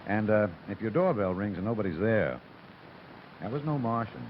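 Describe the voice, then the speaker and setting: A man playing an urbane radio host, measured and theatrical, in 1930s announcing style. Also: fairly low pitch, big pitch swings. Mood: wry solemn. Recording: dull, faintly noisy broadcast sound.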